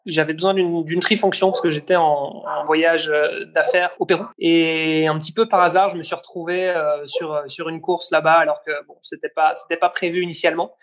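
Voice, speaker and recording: A man's voice: fairly high pitch, little pitch movement, fairly quick, few pauses, a bit bright. A man, answering interview questions hesitantly with many fillers and restarts. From Belgium. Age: 20s. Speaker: guest, brand founder.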